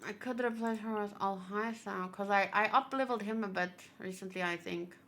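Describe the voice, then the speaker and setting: A woman chatting casually on a gaming livestream, thinking aloud in an expressive, chatty way. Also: mid-range voice, a little fast. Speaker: video game streamer. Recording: clear background noise.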